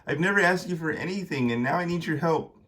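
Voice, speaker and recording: A man's voice: fairly high pitch, quick pace, a bit bright, voice pushed up. A man voicing a troubled young man in a story, urgent, apologetic and pleading.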